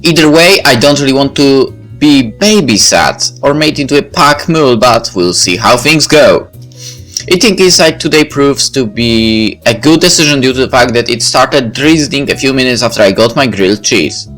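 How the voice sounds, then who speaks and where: Male visual novel reader, mid-pitched, first-person narration over soft background music. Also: animated, moderate pace. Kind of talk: reading first-person story narration aloud.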